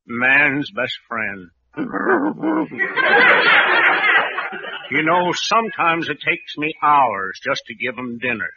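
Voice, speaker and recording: An actor playing a doleful, dog-like dog doctor with deadpan delivery in a 1940s radio comedy. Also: male voice, medium pitch, takes it slowly, gravelly, long pauses, heavily acted. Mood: deadpan joking. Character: slow, doleful dog doctor who acts like a dog. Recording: very noisy, dull vintage radio recording.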